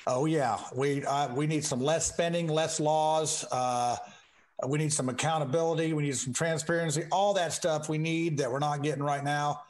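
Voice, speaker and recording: A man from the United States chatting informally as a political candidate on a call-in show, folksy, halting and joking. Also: a little high, fairly even intonation. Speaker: state house candidate and talk show guest.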